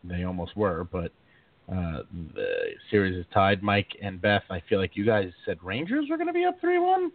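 A man's voice: fairly low pitch, very animated, normal speed, dry recording. A man hosting a podcast, joking and self-mocking, his throat slightly sore. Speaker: podcast host. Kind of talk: casual podcast chat. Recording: dry, tinny phone-like sound, a bit distant.

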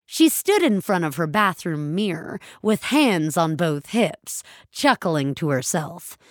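A woman reading scripted fiction as a narrator, with a measured storytelling delivery. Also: lowish voice, very animated, no echo, clean studio sound. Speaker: narrator and reader of an audio story.

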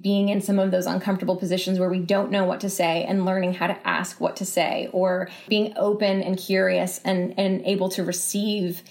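A woman's voice: lowish voice, little pitch movement, normal speed, few pauses, a little mellow. A woman giving thoughtful, conversational interview answers, reasoning aloud and rephrasing as she goes. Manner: reflective, exploratory, repeats and rephrases as she reasons. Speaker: interview guest, writer.

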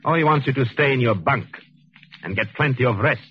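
An actor playing a cruel, educated convict in a 1940s radio drama, measured and insinuating, with menace under a soothing surface. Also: male voice, mid-range voice, animated, close to an everyday voice. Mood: sly, insinuating. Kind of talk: 1940s radio acting with narration. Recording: muffled 1940s radio recording.

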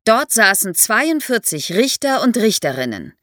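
A woman speaking as an expert podcast guest, explaining things vividly and in clear order for young listeners. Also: a little high, big pitch swings, a little slow, pushed and bright, no room sound, studio quality.

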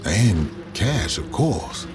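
An actor playing an elderly man who recounts his painful past in measured, halting audio drama narration. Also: lowish voice, sing-song, over music, exaggerated voice. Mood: somber.

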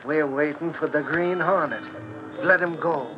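An actor playing a gruff, grumbling police sergeant with slangy talk, in a 1940s radio drama style. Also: male voice, fairly high pitch, a little slow, over loud music, natural voice. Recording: faintly noisy, muffled 1940s radio recording.

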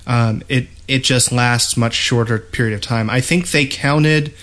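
Male podcast host, mid-pitched, explanatory and a bit halting, in relaxed gamer discussion. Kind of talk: casual gaming discussion.

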